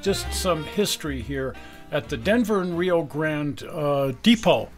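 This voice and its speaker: A man's voice: a little high, a little slow, faint music behind. A man in his sixties hosting a video, chatting casually and nostalgically, laughing easily, recorded indoors on a camera. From Utah. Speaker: video host. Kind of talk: casual chat.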